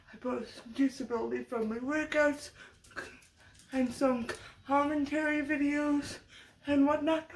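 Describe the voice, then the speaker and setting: A young woman with a high voice, speaking slowly and haltingly. Her voice is fading from allergies and her nose is stuffed up. She keeps restarting words as she chats to camera in a loose, unscripted vlog. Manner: halting, many restarts and stutters. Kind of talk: unscripted casual commentary vlog.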